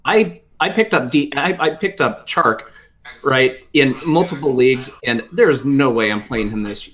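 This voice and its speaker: A man with a medium-pitched voice gives casual fantasy sports advice as a panelist. His delivery is halting, often doubling up words as he makes his point. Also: fairly bright tone. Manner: halting, repeats words emphatically.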